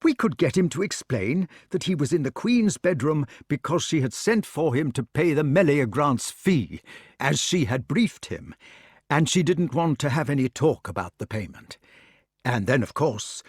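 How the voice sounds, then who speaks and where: A man's voice: fairly high pitch, very animated, moderate pace, breathy edge, dry recording, clean studio sound, pitched above the performer's own voice. A man voicing an older king, earnest and kindly, with a worried edge. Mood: hopeful.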